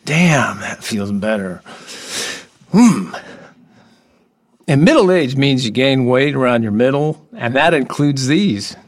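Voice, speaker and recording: Slow-talking, whimsical middle-aged oddball. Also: male voice, mid-range voice, animated. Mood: quirky, candid. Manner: whimsical, halting, earnest, oddball confessions. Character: odd, playful, lonely middle-aged workman. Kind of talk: audio drama acting.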